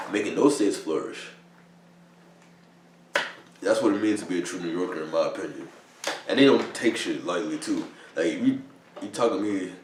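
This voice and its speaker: A man's voice: deep voice, a little mellow, reverberant, recorded from a distance, slight background noise. A man in his thirties from New York, a soldier casually rambling in slangy talk with lots of "bro" and swearing. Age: 30s. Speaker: vlogger, army soldier. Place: army barracks room.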